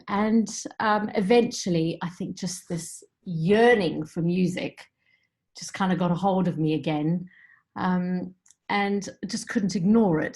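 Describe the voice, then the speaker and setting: A middle-aged woman, raised in Zimbabwe, is the guest on a remote interview call. She tells her story in a reflective, unhurried way and fills it with "you know." Her voice sits at an ordinary middle pitch. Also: darkish tone. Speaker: guest musician. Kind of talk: conversational interview.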